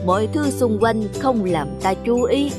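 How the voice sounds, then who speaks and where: A woman's voice: fairly high pitch, measured pace, close to an everyday voice. A woman voicing a humble, patient old countryman in a cartoon, explaining things without hurry. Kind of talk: scripted cartoon dubbing. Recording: music under the voice.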